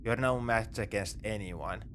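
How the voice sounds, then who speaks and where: Space pirate captor, male actor: lowish voice, wry and reassuring, morally grey, ASMR sci-fi roleplay with light ambient noise. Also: measured pace, close to an everyday voice. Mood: calm, smug. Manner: casual, wry, coaxing. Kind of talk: second-person sci-fi ASMR roleplay acting.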